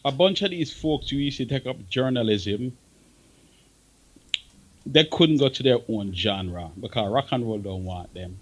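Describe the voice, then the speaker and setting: Jamaican man, mid-pitched, as a forthright radio guest who hammers his points home. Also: unhurried. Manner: blunt, emphatic, repeats himself for stress. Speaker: guest, veteran music engineer. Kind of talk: outspoken talk show discussion.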